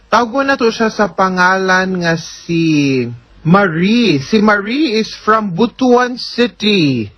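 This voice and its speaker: A woman's voice: medium pitch, expressive, slow pace, dry recording. A woman with a Cebuano accent hosting a radio advice show as an attorney, explaining things conversationally with frequent tag questions. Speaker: host, attorney giving advice. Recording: dull lo-fi audio.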